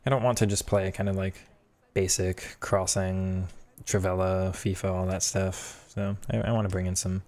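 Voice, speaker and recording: Young man, very low voice, hoarse, casual gaming commentary, thinking aloud. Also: flat delivery, normal speed, a little mellow. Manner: thinking aloud, reacting to play. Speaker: gaming streamer.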